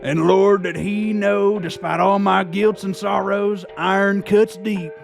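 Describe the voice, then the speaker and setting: A man's voice: high voice, fairly even intonation, unhurried, gravelly, faint music behind, full character voice. A man voicing a vengeful gunslinger in his forties, theatrical and menacing, with an in a video game. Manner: theatrical, grandiose.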